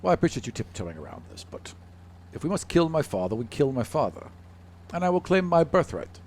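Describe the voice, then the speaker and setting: A man playing a haughty, contemptuous demigod in a measured, condescending manner for a tabletop game. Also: a little high, big pitch swings, a little mellow, a little hiss or hum, voice pushed up. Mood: grave, resolute.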